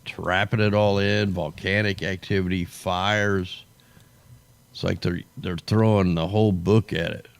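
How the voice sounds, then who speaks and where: Deep voiced guy livestreaming, gravelly and tired, rambling casual slangy commentary, voice kinda shot. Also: steady tone, measured pace. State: tired, voice worn out. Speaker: livestream host. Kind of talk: casual off-the-cuff weather commentary.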